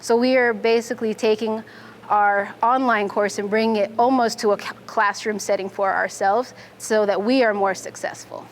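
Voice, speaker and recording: A young adult woman from Fiji, a Navy instructor, answering interview questions in a clear, methodical way. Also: mid-range voice, fairly even intonation, moderate pace, a little hiss or hum. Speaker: Navy nuclear instructor and student.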